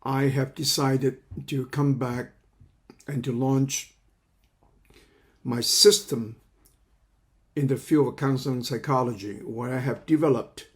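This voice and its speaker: Halting older man. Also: medium pitch. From China. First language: Cantonese. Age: 70s. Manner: halting, repeats words while searching for phrasing. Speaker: counseling psychology teacher. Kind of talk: lecture introduction.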